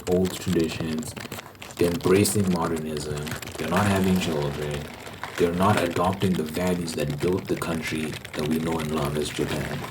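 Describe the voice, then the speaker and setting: A man hosting a rambling, opinionated ASMR monologue, haltingly repeating phrases for emphasis. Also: a bass voice, steady tone, background noise. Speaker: ASMR host.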